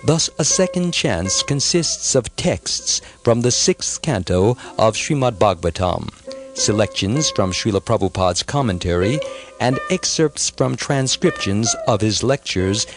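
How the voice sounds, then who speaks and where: A man's voice: fairly low pitch, animated, a little slow. A man reading scripted narration as an audiobook narrator. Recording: music behind the voice. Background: music.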